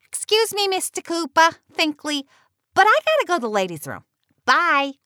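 A woman's voice: very high-pitched, sing-song, normal speed, pushed and bright, a little hiss or hum, heavily acted. A woman playing a chatty, nosy office worker in a campy, exaggerated audio drama. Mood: gossipy, flustered. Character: chatty, nosy female office worker who turns terrified. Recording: roomy echo, music behind.

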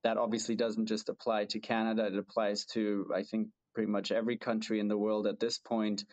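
A man's voice: lowish voice, monotone, brisk, highs cut off. A man from Germany for whom English is a second language, co-hosting a podcast in a thoughtful way with frequent restarts. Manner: thoughtful, hesitant restarts, self-deprecating laughs. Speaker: podcast co-host. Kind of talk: casual podcast chat.

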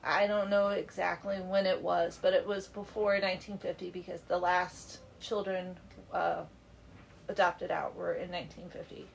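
A woman's voice: lowish voice, little pitch movement, moderate pace, vocal fry, low-quality recording. A middle-aged woman with a stuffy, congested voice hosts a casual book podcast from her basement at home. She talks in a chatty, easygoing way and laughs easily, with faint ambient noise in the background. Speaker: podcast host. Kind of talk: casual book club chat.

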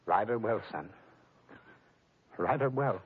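An actor playing a dignified, sentimental gentleman in a radio drama, speaking in a measured and formal way. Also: fairly low pitch, expressive. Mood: solemn. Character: solemn, dignified gentleman presenting a gift to a youth. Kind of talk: 1950s radio drama acting. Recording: tinny, band-limited 1950s radio recording.

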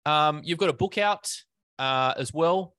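A man's voice: on the high side, unhurried, bright, forceful tone, smooth, no room sound. A man hosting a fitness business podcast, talking casually with many fillers and restarts. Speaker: podcast host. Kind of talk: casual interview.

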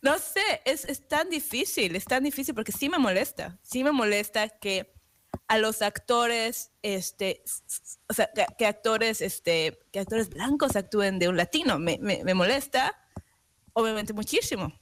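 A woman's voice: fairly high pitch, animated, a little slow, a bit bright. A woman co-hosting a casual chat, speaking informally and a little hesitantly, repeating words as she thinks. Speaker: talk show co-host.

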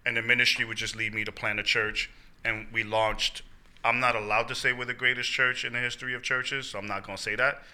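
A man, a church pastor, interviewing a member in a casual, animated way with slang and frequent laughs. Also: medium pitch.

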